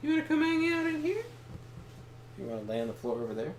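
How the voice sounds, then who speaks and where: Guy rambling on a game stream, halting n wandering, roomy echo, bit of background noise. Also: very high voice, wildly swooping pitch. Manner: halting, digressive, trivia-minded. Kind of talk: casual rambling chat. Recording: echoey room, faint background noise.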